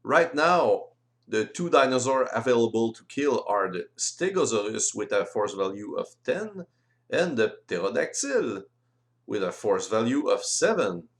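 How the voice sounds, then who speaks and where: A man whose native language is French, explaining game rules in careful, halting, non-native English as an instructional voice-over. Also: medium pitch, sing-song.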